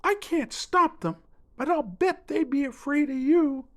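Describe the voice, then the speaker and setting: Squeaky falsetto spoonbill bird. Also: male voice, measured pace. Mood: pleading, coaxing. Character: excitable, good-hearted male spoonbill bird, bargaining helper.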